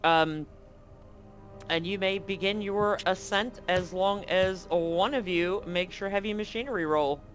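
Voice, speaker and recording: A man's voice: sits high, measured pace, a bit bright, faint music behind. A man narrating as game master of a tabletop roleplaying game, often correcting himself mid-sentence as he describes the scene. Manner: rambling, self-correcting narration.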